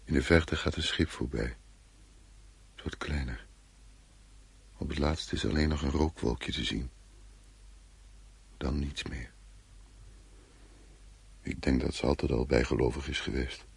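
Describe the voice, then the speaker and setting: Radio drama: brooding husband telling a dream, bottom-register voice, husky and worn. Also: male voice, a bass voice, steady tone, measured pace, long pauses, exaggerated voice. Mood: wistful. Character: brooding husband recounting a dream of his fearful wife.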